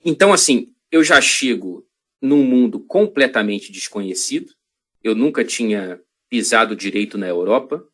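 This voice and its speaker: A Brazilian man, a journalist guest on a podcast, telling a long story in a reflective, halting way with many restarts. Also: mid-range voice, expressive, fairly bright tone, breathy edge.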